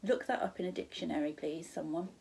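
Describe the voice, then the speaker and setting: A woman reading a children's storybook aloud as a teacher, in expressive narration with the odd corrected stumble. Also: on the low side, quick pace, gravelly, slight background noise.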